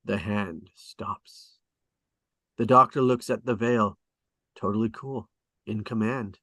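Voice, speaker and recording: Lower-voiced man reading stage directions, close and dry. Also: fairly even intonation, slow, bright, forceful tone, dry recording. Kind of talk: scripted screenplay narration at a table read.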